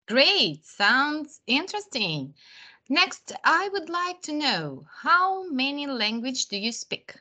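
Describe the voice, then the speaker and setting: A woman host with a bright, crisp tone and a lively, swinging delivery. She speaks a little higher than most, reading prepared introductions and interview questions clearly and at a measured pace. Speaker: podcast host.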